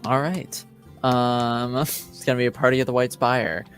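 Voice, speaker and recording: Halting male game master over background music. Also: medium pitch, steady tone. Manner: halting, repeats and rephrases as he narrates. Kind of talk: improvised tabletop game narration, conversational.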